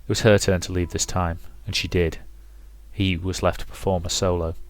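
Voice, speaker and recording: A man's voice: low-pitched, level tone, moderate pace. A man hosting a let's play, reading a visual novel as dramatic, rhythmic narration with some commentary. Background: music.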